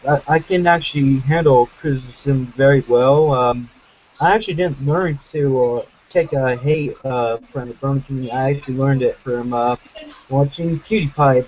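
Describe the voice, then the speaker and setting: A man's voice: medium pitch, normal speed, a little mellow. A young man chatting casually as the host of a group call stream. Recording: dull noisy internet call audio.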